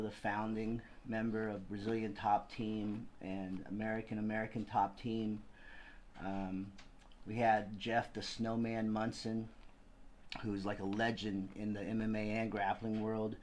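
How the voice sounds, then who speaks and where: A man's voice: on the low side, slight background noise. A Thailand-born man, hosting a live video podcast with an enthusiastic, rambling, gushing way of talking. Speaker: podcast host. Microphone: video call.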